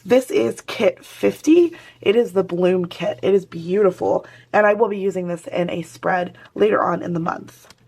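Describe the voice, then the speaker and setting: Hoarse-voiced woman host, chatty and easygoing, talking viewers through a planner spread. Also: mid-range voice, moderate pace, hardly any pauses, darkish tone. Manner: chatty, talks to viewers while working. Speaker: planner vlogger. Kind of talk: casual crafting chat.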